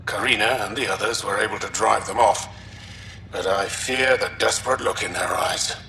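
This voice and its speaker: A man's voice: on the low side, moderate pace, pushed and bright. A man playing a wary, scornful alien settler recording an audio log in a video game. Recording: roomy, distant log playback, faint noise.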